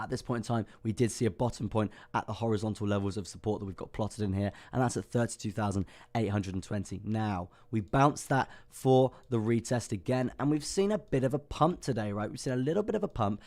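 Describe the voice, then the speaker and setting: A young man hosts a crypto livestream with a hoarse, worn-out voice, talking casually with lots of ums and restarts. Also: on the low side, fairly quick, few pauses, darkish tone. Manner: chatty, self-deprecating, many ums and restarts. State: hoarse, lost voice. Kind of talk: casual market analysis livestream.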